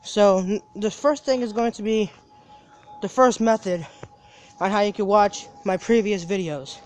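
A man's voice: sits high, a little slow, a little mellow. A seventeen-year-old young man vlogging outdoors by a parking lot, talking into a phone held at arm's length. He rambles, repeats himself and restarts his sentences. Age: teens. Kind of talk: casual daily vlog.

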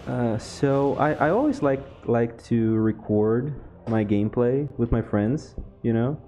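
Mellow young Brazilian man chatting. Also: medium pitch, a little slow, dull audio. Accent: Brazilian Portuguese. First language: Portuguese. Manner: relaxed, chatty, laughs often, many fillers. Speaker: gaming YouTuber. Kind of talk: casual chat over gameplay.

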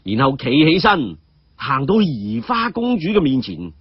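Animated man telling stories. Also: mid-range voice, a little slow, breathy edge, no echo, dull audio. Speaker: storyteller. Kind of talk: dramatic story narration.

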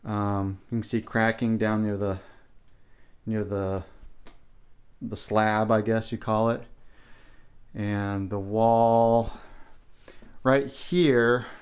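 Low, smooth-voiced man, casual walkthrough. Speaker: person filming a home repair walkthrough. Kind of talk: casual unscripted walkthrough narration. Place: indoors, house basement with block walls. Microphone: handheld camera, held by the speaker.

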